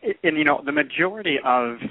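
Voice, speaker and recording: Phone-like dry sound, man, podcast host, conversational interview style, halting delivery with repeated words. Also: mid-range voice, expressive, no echo. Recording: dry, tinny phone-like radio audio.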